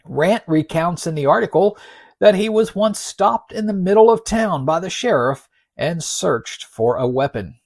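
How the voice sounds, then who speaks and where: Male podcast narrator, slightly higher-pitched voice, very dry sound, folksy and wry, deliberate emphasis. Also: expressive, gravelly, no echo. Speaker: podcast host. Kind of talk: narrated podcast storytelling with read-out article quotes.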